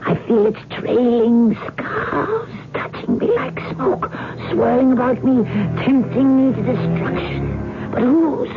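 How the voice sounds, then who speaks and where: An old woman in a muffled old radio play, outwardly kindly but with dark longing underneath. She takes her time over her words, unhurried and theatrical. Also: on the high side, animated. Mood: brooding, ominous. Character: old cat-loving woman, outwardly kindly, secretly sinister, yearning. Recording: muffled, lo-fi radio drama recording.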